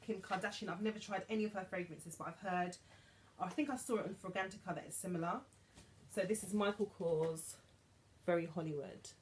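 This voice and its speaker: A woman's voice: lowish voice, fairly quick, a little hiss or hum. Chatty British woman vlogging a haul. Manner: chatty, animated, drops to whispers for asides. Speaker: beauty vlogger. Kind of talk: casual haul chat to camera. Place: bedroom dressing room with vanity, indoors.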